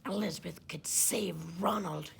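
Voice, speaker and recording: A woman's voice: lowish voice, moderate pace, vocal fry, crowd noise. A woman chatting simply about a book in a casual discussion for children. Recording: noticeable background noise and ambience.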